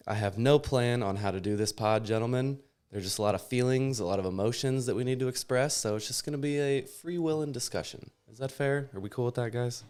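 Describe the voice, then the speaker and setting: A man's voice: fairly low pitch, a little mellow, clean tone. A man hosting a podcast, chatting casually about sport. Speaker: podcast host. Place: in person around a table with several mics.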